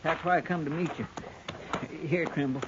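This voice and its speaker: An actor playing a loyal, folksy deputy in a 1950s radio western, plainspoken, deferential and drawling. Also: male voice, fairly high pitch, normal speed, close to an everyday voice. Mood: grave, reluctant. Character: loyal, folksy male deputy bringing bad news. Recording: noisy, muffled 1950s radio recording.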